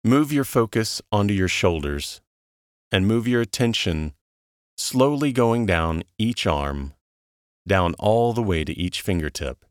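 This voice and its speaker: A man with a low voice leads a guided relaxation. He speaks very slowly and calmly, with soothing, measured instructions and long pauses. The sound is dry, with no room around the voice. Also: no echo. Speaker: guided meditation narrator. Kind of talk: slow guided relaxation narration.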